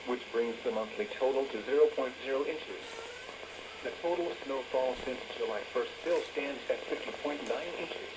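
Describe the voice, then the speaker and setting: An automated male voice with an American accent reads a scripted weather bulletin over the radio in a flat, measured, synthetic way. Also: medium pitch. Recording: noisy weather radio broadcast, strong static.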